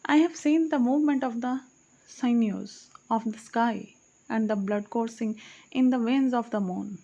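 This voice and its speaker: Female audiobook narrator, higher-pitched, solemn recital of archaic verse with self-corrections. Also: measured pace, mellow, muffled recording. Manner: solemn recitation, correcting her own slips. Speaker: audiobook reader. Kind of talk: reading archaic poetry aloud.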